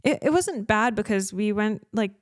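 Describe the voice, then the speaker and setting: A young American woman, a racing driver, chatting as a podcast guest and pausing often to search for words. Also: mid-range voice, lively intonation, no echo, clean studio sound. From United States. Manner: hesitant, many fillers, searching for words. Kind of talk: casual interview chat.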